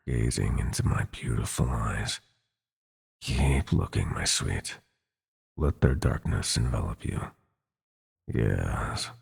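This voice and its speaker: A man's voice: a bass voice, full character voice. A man playing a sinister, seductive prince of nightmares in an ASMR roleplay, gloating and possessive, with a theatrical, taunting purr that slips into whispers. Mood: hypnotic, coaxing. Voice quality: gravelly, husky.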